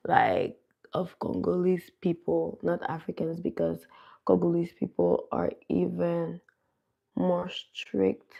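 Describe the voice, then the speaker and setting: A young woman from Canada talks unhurriedly to her livestream viewers from home. Her voice sits slightly low with a gravelly edge. She chats loosely and greets people by name. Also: muffled recording. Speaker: livestream host. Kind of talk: casual livestream chat. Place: home in a cold region.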